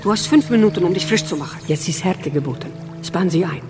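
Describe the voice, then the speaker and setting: A woman acting a brisk, commanding woman in a radio drama. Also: fairly low pitch, expressive, over loud music. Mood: stern, brisk. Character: brisk, commanding woman hardening herself, consoling others.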